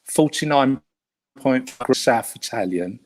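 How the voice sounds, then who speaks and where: A man's voice: medium pitch, lively intonation, takes it slowly, poor audio. Cockney guy on a video call, lots of ums and restarts, easygoing chat. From England. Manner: hesitant, many fillers and restarts. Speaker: guest.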